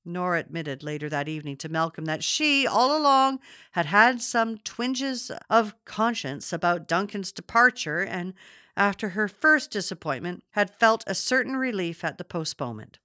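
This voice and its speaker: A woman's voice: on the low side, sing-song, normal speed, pushed and bright, no room sound, studio quality. A woman narrating a literary story from a script. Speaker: audiobook narrator.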